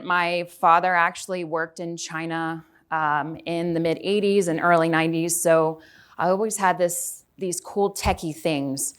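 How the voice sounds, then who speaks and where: A woman's voice: fairly low pitch, clear, resonant tone. A woman in her forties from Houston, giving a chatty conference talk and asking the audience questions. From Houston, Texas. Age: 40s. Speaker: conference speaker. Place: conference hall.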